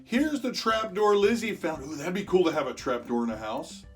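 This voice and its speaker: A storyteller reading a rhyming picture book aloud to children in an expressive way, stretching words for emphasis and chatting with young listeners. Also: medium pitch, moderate pace, faint music behind. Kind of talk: animated children's read-aloud of rhyming verse.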